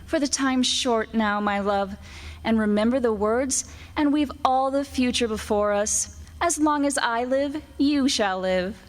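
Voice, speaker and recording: A woman's voice: on the high side, a little slow, long reverb tail, a little hiss or hum, lightly acted. Woman playing a seductive, teasing witch. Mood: tender, solemn. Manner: coaxing, archaic storybook phrasing. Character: seductive, teasing immortal witch, promising riches and eternal life. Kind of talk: old-time radio drama acting. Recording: staged radio play with music.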